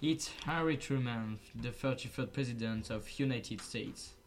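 A man's voice: mid-range voice, unhurried, poor audio. A teenage boy playing a quiz contestant, answering with boastful confidence. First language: French. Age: teen. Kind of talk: school radio role-play.

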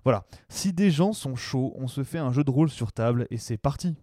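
A man's voice: medium pitch, expressive, a little fast, warm tone, no echo, clean studio sound. A man presenting scripted radio commentary in a slangy, colloquial, sardonic way.

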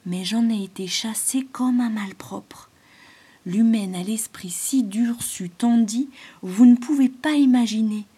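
A woman voicing a gentle, weary male python who speaks in a soft, breathy whisper. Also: a little high. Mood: plaintive. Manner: slow, hushed and plaintive.

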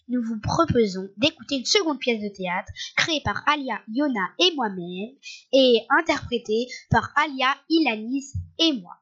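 A woman's voice: on the high side, a bit bright. A young girl in her early teens presenting a school radio show from a script. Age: child, early teens. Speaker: student radio host. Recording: dull lo-fi school recording.

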